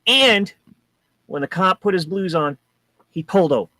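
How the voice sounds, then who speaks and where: Bright, dry-voiced chatty man. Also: high voice, moderate pace, dry recording. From New Hampshire. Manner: rambling, animated, frequent restarts and "like". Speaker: co-host. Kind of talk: casual chat.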